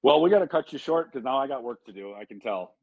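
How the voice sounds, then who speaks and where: A man from Illinois co-hosting an interview, talking in a thoughtful, halting way. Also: medium pitch, animated, creaky, breathy edge. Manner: thoughtful, halting, restarts often. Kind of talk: casual interview talk. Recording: dry dull lo-fi sound.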